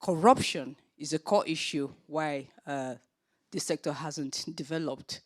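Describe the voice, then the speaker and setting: A woman with a Nigerian accent chairing a forum panel, speaking earnestly with frequent filler pauses. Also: deep voice. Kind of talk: opening remarks at a forum panel.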